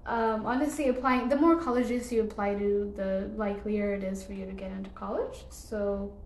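A woman's voice: mid-range voice, steady tone, moderate pace, darkish tone, roomy sound, slight background noise. A young woman from Ethiopia, a podcast guest, answering casually with many fillers and short laughs. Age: young adult.

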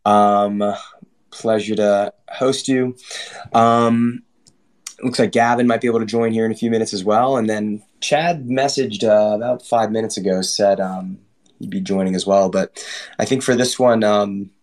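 A man's voice: on the low side, darkish tone. Jokey man opening a live audio show. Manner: friendly, jokey, filler-heavy. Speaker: livestream host.

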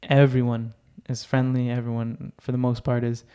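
A young man talks in an easy, unhurried podcast chat. His voice sits at an ordinary middle pitch. He gets excited and doubles up his words to stress a point. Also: clean tone. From Israel. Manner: enthusiastic, repeats words for emphasis. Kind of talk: casual chat.

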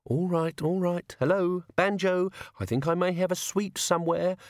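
A man's voice: sits high, fairly even intonation, normal speed, no room sound, studio quality, pitched above the performer's own voice. Man acting a neat, small-voiced, fussy criminal. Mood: primly assured. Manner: precise, polite.

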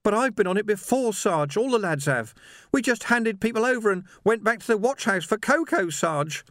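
A man voicing a distraught, naive young lance constable in an audiobook, halting and trembling. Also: sits high, animated, fairly bright tone, no echo, studio quality, voice pushed up. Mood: bewildered protest. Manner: shaky, halting, repeating himself. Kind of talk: audiobook character voice.

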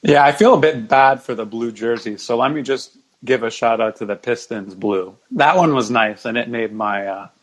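A man's voice: medium pitch, normal speed, hardly any pauses. A man as a podcast guest, mildly explaining his opinions in casual sports discussion.

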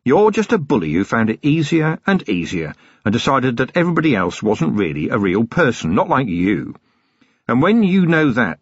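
A man voicing a weary, gruff city police commander who talks in a slow, deliberate, menacing way. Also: mid-range voice, no room sound, dull audio, voice pushed up. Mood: contemptuous.

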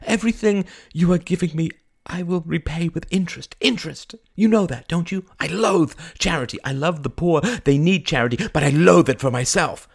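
A man's voice: sits high, fairly quick, gravelly, darkish tone, slightly rough, voice pushed up, heavily acted. A man voicing a giddy, overwrought young woman in an audiobook, theatrical, breathless and stammering, with a proud, tearful edge and brittle laughter. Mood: fervent, proud. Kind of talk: audiobook character acting.

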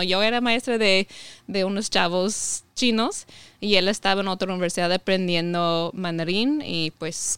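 Guest, woman from Ohio, 30s, non-native speaker, halting and casual, very clear and dry. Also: medium pitch, fairly bright tone, no echo. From United States (Ohio). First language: English. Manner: hesitant, many fillers and restarts. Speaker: podcast guest. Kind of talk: casual interview conversation.

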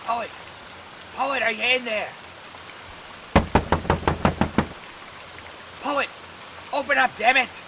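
An actor playing an exasperated, pleading old friend who brings a shady job, in a noir audio drama. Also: male voice, extremely high pitch, steady tone, unhurried, very noisy. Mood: impatient.

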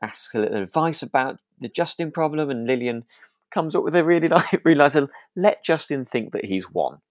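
A man's voice: fairly high pitch, lively intonation, fairly quick, no room sound, clean studio sound. A British man co-hosting a podcast in casual chat, agreeable and a little rambling as he feels his way through sentences. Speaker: podcast co-host.

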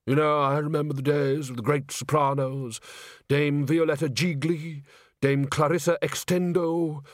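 A man voicing a wistful old music director, reminiscing slowly and mournfully in a storybook. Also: fairly high pitch, fairly even intonation, dry recording, clean studio sound, pitched above the performer's own voice, natural voice. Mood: wistful, nostalgic.

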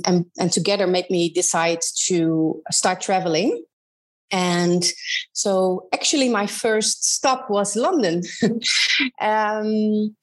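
A woman in her thirties with a Dutch accent, talking as a guest in an interview. Her voice sits at a middle pitch, and she pauses often and restarts her sentences as she searches for words. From Netherlands. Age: 30s. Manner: hesitant, many ums and restarts. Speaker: guest, business advisor. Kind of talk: casual interview conversation.

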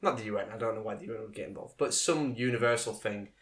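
A man's voice: lowish voice, fast talker, a bit bright. Man joking on a podcast. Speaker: podcast co-host. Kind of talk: casual podcast chat.

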